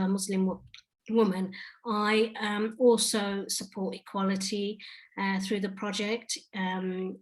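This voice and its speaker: A woman's voice: medium pitch, low-quality recording. A woman from the United Kingdom, an artist, answering audience questions on a video call webinar, speaking hesitantly with many fillers and restarts. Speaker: artist and project lead.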